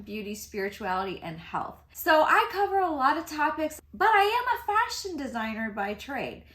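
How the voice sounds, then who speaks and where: A woman's voice: sits high, a bit bright. A woman in her fifties chatting casually to camera about fashion, often laughing as she talks. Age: 50s. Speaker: fashion vlogger. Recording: echoey room.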